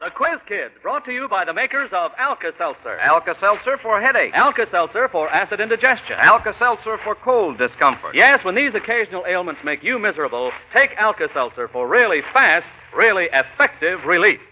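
An American man announcing in old-time radio style, as a show announcer and sponsor pitchman. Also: very animated, fairly quick. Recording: muffled, noisy vintage radio recording.

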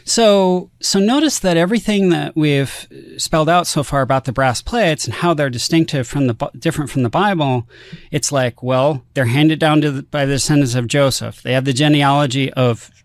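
A man's voice: a little high, moderate pace, dry recording, clean studio sound. A man on a podcast explaining a religious text step by step, often posing rhetorical questions. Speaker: guest scholar.

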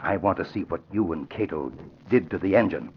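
A man's voice: low voice, fairly quick. An actor playing an older American father, proud and eager, with emphatic folksy exclamations in 1940s radio drama style. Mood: amazed, eager. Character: proud, eager old father, former Texas rider. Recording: muffled, noisy vintage radio recording.

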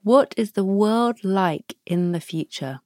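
Woman, guided meditation host, very slow pace, soft prompts, dry close recording. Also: medium pitch, dry recording. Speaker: podcast host guiding a practice.

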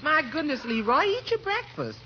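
A woman's voice: sits high, wildly swooping pitch, moderate pace. A woman playing an easygoing, practical housekeeper and cook in 1940s radio comedy, folksy and deferential. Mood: easygoing, helpful. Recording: dull vintage radio recording, faint noise.

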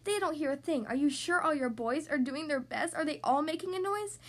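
A woman's voice: high voice, expressive, brisk. A woman voicing a big, loyal, kindly male elephant in a storybook, earnest and emphatic. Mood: encouraging, urgent.

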